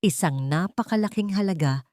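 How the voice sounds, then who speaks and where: A woman narrating a scripted dramatic love story in a measured, solemn storytelling manner. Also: medium pitch, animated, warm tone, no room sound, studio quality.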